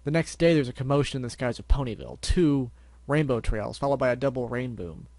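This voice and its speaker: Man reading as a serious unicorn stallion, measured, firm, matter-of-fact, mid-pitched, dry close sound. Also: darkish tone, no echo, close to an everyday voice. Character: calm, serious lavender unicorn stallion, investigator.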